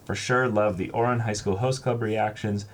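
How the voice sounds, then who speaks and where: A man chatting casually and reading letters aloud as co-host of an unboxing, halting when he ad-libs and expressive when he reads. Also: lowish voice. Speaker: co-host of a reaction channel. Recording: faint background noise.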